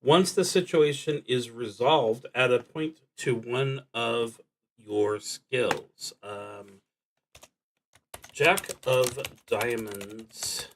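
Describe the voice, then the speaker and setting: A man streaming a solo tabletop roleplaying game, reading prompts and thinking aloud in a halting, hesitant way that trails off. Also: medium pitch, background noise.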